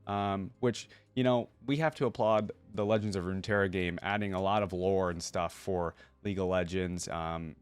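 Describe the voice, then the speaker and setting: A man hosting a reaction video, chatting casually and thinking aloud as he gives his commentary. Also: deep voice.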